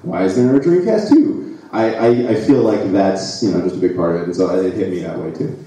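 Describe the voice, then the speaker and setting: A man's voice: sits low, animated, a little fast, warm tone. A man from the United States chatting casually and jokily as a guest on a live panel, rambling with restarts. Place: live expo stage, large hall. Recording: echoey hall, distant mic.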